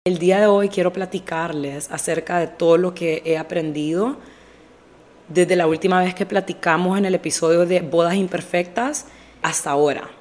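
A young woman hosting her own podcast in casual, direct chat, a little hoarse with a stuffy nose, slipping English phrases into her talk. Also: on the low side, steady tone, moderate pace, darkish tone. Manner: direct, chatty, mixes English phrases into Spanish. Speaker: podcast host.

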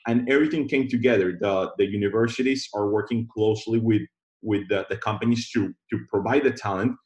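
A man from Colombia, a guest on a podcast, speaking in a measured way with many restarts. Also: fairly low pitch, a little mellow. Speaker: guest, tech founder. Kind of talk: podcast interview.

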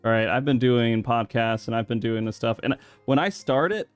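A man's voice: mid-range voice, moderate pace, clear, resonant tone, no room sound, soft background music. A man in his thirties hosting a podcast, chatting casually, rambling and laughing often. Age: 30s. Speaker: podcast host.

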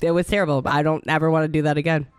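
A woman with a low, warm-sitting voice who grew up speaking Italian hosts a loose podcast conversation. She talks in an animated, self-deprecating way and laughs a lot. The sound is close and dry. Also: rapid-fire, smooth, no room sound. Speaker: podcast and radio host. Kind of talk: casual podcast chat.

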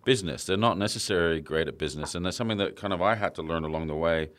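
A man hosting a podcast interview, talking casually with plenty of fillers and pauses, a little jet lagged. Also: low-pitched. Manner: relaxed, hesitant with fillers. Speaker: podcast host. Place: in Sydney.

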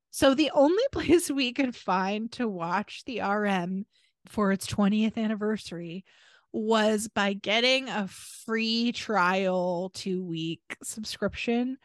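A woman's voice: mid-range voice, no room sound, clean studio sound. An American woman co-hosting a podcast in casual chat, telling stories in a chatty, wry way. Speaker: podcast co-host.

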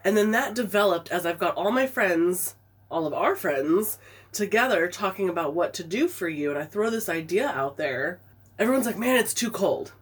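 A woman's voice: fairly low pitch, moderate pace, slightly rough. A woman co-hosting a podcast, telling a story in casual chat with lots of laughter while fighting a stuffy head cold. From Pacific Northwest. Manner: animated storytelling, laughing often. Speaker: podcast co-host.

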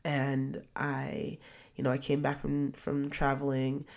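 A woman's voice: very deep voice, monotone, slow, gravelly, darkish tone. A young adult woman hosting a casual solo podcast, sniffly from a cold, rambling with lots of fillers and restarts. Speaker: podcast host.

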